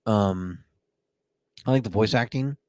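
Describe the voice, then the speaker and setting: A man's voice: fairly low pitch, measured pace, darkish tone, no echo, studio quality. A man co-hosting a casual podcast, chatty and playful, reading out listener comments. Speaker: podcast co-host.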